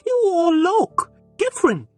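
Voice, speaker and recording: A man voicing a cool, self-assured grown woman with quiet defiance and a poised, coolly polite manner in a narrated story. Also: very high voice, huge pitch swings, measured pace, no echo, faint music behind, pitched above the performer's own voice, exaggerated voice. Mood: even, pointed.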